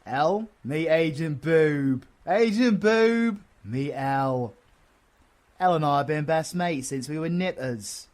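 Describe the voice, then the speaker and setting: A man's voice: fairly high pitch, slow pace, a little mellow. A British man playing a cocky, sardonic, foul-mouthed but affectionate supervillain in an audio roleplay, with slangy, teasing delivery. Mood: playful teasing. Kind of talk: audio roleplay drama acting.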